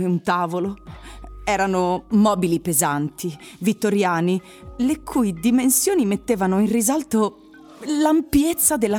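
A woman's voice: on the low side, a little mellow. A woman reading scripted story narration for a radio drama. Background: music.

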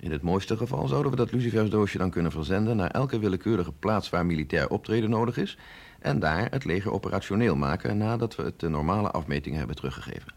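An actor playing a sceptical, authoritative, middle-aged male scientist in a radio drama, explaining in a measured, insistent way. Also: low voice, lively intonation, creaky, darkish tone, heavily acted. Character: sceptical, authoritative male scientist briefing an agent, no longer young. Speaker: scientist doctor.

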